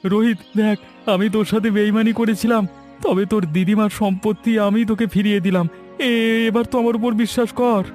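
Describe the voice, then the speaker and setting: A man's voice: sits high, steady tone, a little fast, a little mellow, faint music behind, natural voice. A man voicing an eager young village helper in a cartoon, earnest and coaxing. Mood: pleading. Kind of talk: cartoon voice acting.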